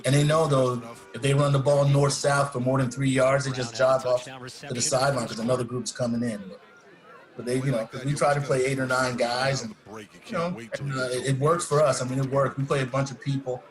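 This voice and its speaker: A man's voice: mid-range voice, flat delivery, a little fast. Man, line coach, rambling, plainspoken, crowd noise behind. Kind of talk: casual explanation.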